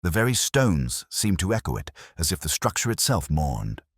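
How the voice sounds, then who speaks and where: A male audiobook narrator with an extremely deep voice reads a scripted mystery dramatically. His pitch moves in lively swings, and the recording is dry and close. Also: normal speed, no room sound.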